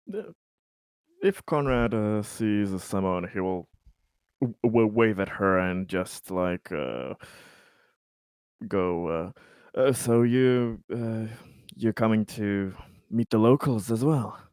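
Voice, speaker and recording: Male roleplayer, lowish smooth voice, slow and hesitant, narrating his character, dry close recording. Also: darkish tone, dry recording. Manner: hesitant, searching for words. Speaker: tabletop game player. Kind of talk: third-person roleplay narration.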